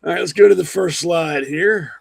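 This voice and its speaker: Blunt guy with a cold, gravelly and kinda hoarse, dry close mic. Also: fairly high pitch, normal speed, dry recording. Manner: blunt, rambling, with frequent ums and restarts. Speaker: podcast host. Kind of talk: opinionated political commentary.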